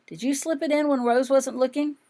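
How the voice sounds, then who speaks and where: A woman's voice: high-pitched, steady tone, fairly quick, mellow, voice pushed up, natural voice. A thoughtful young schoolgirl from a storybook, read by a woman. She speaks earnestly, with firm confidence. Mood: confident. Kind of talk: audiobook character dialogue.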